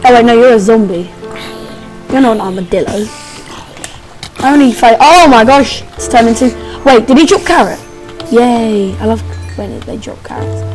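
A young woman giving casual, excitable commentary while playing a game, squealing and making playful sing-song noises. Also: a little high, normal speed, pauses often, soft background music, poor audio. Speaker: gamer. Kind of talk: casual let's play commentary.

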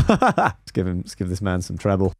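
A man's voice: deep voice, moderate pace, no echo. A young man, a music producer, casually talks through a track in his small home studio, sometimes scatting melodies to show what he means. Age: young adult. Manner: relaxed, scats melodies to demonstrate. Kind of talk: casual explanatory stream breakdown. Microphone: studio mic on boom arm.

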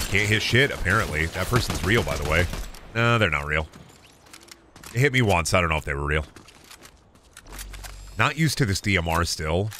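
Low, bright-edged male streamer, sick voice near cracking, rambling, self-deprecating, headset at home. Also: slight background noise. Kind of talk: casual gameplay stream chat. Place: home room at a desk.

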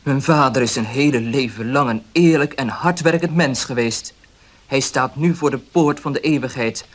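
An actor playing a guarded young baker in a 1970s radio drama, speaking plainly and carefully. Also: male voice, mid-range voice, moderate pace, natural voice.

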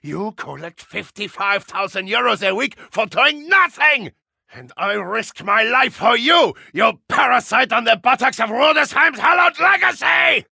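An actor playing a brash, hot-tempered German football hooligan action hero in a video game, with a German accent, a gravelly rasp and bombastic delivery. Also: male voice, very high voice, expressive, a little slow, no room sound, exaggerated voice. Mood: furious. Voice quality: gravelly, raspy. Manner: bombastic, theatrical, swinging from growl to shout. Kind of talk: video game character voice lines.

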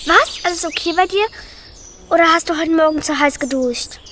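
A woman's voice: very high voice, noisy background. A woman voicing a cheeky young detective helper in a children's radio play. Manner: cheeky, brisk.

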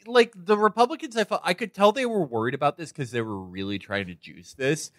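A man's voice: fairly high pitch, a little fast, a bit bright, dry recording, clean studio sound. An American man hosting a podcast, talking in casual comedic banter with a crude, profane, rambling manner. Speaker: podcast host.

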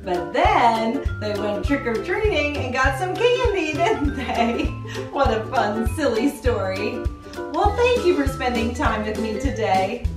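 A middle-aged woman hosts a playful counting activity for small children in a highish, lively voice that rises and falls in a sing-song way. She often puts questions to her listeners. The mic sounds distant, with music behind her. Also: a little slow, reverberant. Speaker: children's read-aloud host.

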